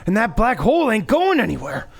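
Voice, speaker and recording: An actor playing a blunt, urgent space pilot sidekick on a live theater stage. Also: male voice, high-pitched, huge pitch swings, fairly quick, vocal fry, slightly rough, full character voice. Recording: guitar music behind a live stage.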